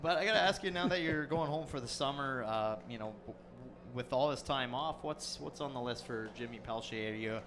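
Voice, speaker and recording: A man doing baseball color commentary, upbeat and teasing, asking a player about his summer. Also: medium pitch. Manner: upbeat, teasing, quick affirmations.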